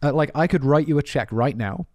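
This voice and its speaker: A man's voice: on the high side, fairly quick, a little mellow, no echo, clean studio sound. A man hosting a podcast, chatting casually and rambling, often saying "dude". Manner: rambling, spells things out, says dude often. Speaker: podcast host. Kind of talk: casual chat.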